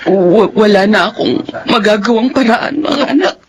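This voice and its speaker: An actress playing a remorseful middle-aged mother in an emotional, halting radio drama style. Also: medium pitch, lively intonation, a crowd in the background, close to an everyday voice. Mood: guilty, defensive. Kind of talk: radio drama acting and first-person narration.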